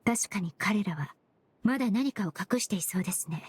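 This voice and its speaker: A woman voicing a composed, courteous young forensic cleaner in an anime game dub, speaking formally and analytically in measured phrases. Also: mid-range voice, expressive, husky, dry recording. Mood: calm, analytical. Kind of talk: Japanese anime game dubbing.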